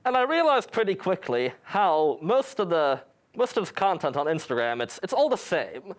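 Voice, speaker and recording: A man's voice: high voice, sing-song, moderate pace. A young man from New York, a student guest in a campus TV studio, answering with dry, mock-grandiose humor. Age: young adult. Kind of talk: tongue-in-cheek interview answers.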